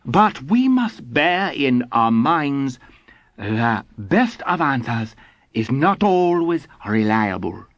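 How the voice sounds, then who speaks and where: A man's voice: mid-range voice, sing-song, takes it slowly, no echo. A man voicing an older scholar who lectures in broken English. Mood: lecturing. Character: shy, smiling older male Japanese Zen scholar. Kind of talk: audiobook character voice.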